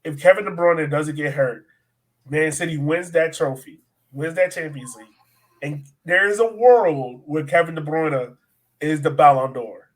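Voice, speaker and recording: A man's voice: fairly high pitch, measured pace, low-quality recording. A man hosting a soccer podcast, asking questions and giving emphatic opinions in a conversational style. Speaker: sports podcast host.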